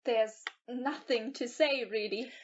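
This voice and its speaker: Woman acting a high-voiced, timid young lady passenger. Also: steady tone, slow pace, lo-fi sound. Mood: reserved, uneasy. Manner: quiet, hesitant. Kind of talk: audio play acting.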